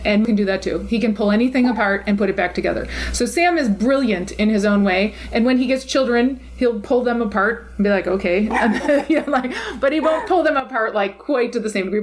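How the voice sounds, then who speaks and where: A woman's voice: on the high side, fairly quick, few pauses. A middle-aged woman interviewing a guest in a reflective, conversational way, laughing often as she talks.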